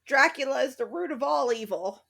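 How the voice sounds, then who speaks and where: A woman's voice: high-pitched, expressive, normal speed, creaky, pushed and bright. A woman co-hosting a podcast in casual chat, joking flippantly and swearing freely. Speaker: podcast co-host.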